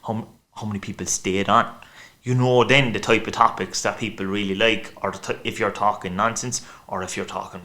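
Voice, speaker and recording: Low-voiced man with a thick Cork accent. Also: fairly even intonation, fairly quick, hardly any pauses, bright, forceful tone. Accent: Cork Irish. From Ireland. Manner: chatty, rambling, acts out quoted voices. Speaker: podcast guest. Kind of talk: casual chat.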